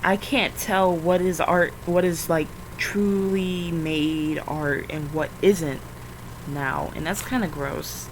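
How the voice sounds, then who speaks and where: A woman's voice: on the low side, fairly even intonation, takes it slowly. A young woman with a scratchy, just-woken-up voice, rambling in a casual vlog while she draws, full of fillers and self-corrections. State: just woke up, tired. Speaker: vlogger.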